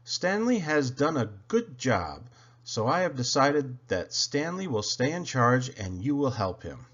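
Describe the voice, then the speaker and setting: An authoritative gentleman railway boss from a children's storybook, acted out by a man reading aloud. He explains and proclaims approvingly with lively, wide-ranging pitch. The recording is a bit dull. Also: unhurried. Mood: decisive.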